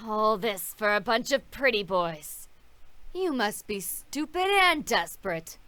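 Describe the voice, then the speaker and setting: Woman playing a cold female devil, condescending, clear voice, dry sound. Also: medium pitch, expressive, slow pace, bright, forceful tone, no echo. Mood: mocking. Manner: taunting, condescending.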